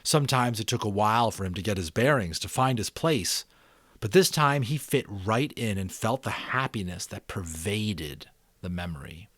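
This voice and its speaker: A middle-aged man giving a dramatic reading of a novel, with deliberate emphasis and casual asides to his listeners. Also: fairly low pitch, expressive, a bit bright, no room sound. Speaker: audiobook reader and channel host.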